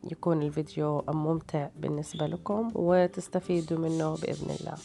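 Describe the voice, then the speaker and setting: A woman's voice: deep voice, mellow. A woman with a tired voice, narrating recipes as a cooking host in a casual, hesitant way. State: tired, strained voice. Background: background music.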